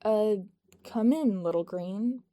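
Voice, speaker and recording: A woman's voice: medium pitch, slow pace, warm tone, close to an everyday voice. A woman voicing a tough, friendly female gang member with a casual, blunt manner in a narrated story. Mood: brash, friendly.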